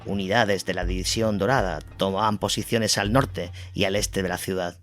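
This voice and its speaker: A man's voice: deep voice, fairly even intonation, normal speed, fairly bright tone. A man from reading a scripted documentary war narration as the narrator of an audio drama. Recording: music bed under the voice.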